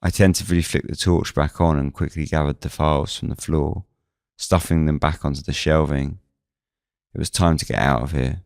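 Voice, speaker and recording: A man's voice: rumbling low, fairly even intonation, moderate pace, vocal fry, a little mellow, dry recording, studio quality. A man reading scripted first-person suspense narration as the narrator of a fiction podcast.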